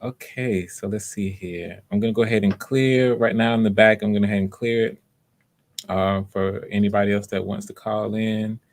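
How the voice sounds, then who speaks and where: Male audition host, low voice, casual and encouraging, repeats himself. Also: steady tone, moderate pace. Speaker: casting director hosting live voice auditions. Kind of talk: casual live audition chat.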